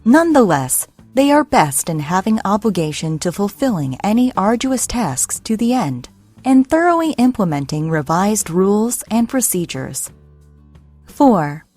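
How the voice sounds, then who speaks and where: A woman's voice: medium pitch, darkish tone. A woman reading a scripted explainer narration in a formal, read-out manner. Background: background music.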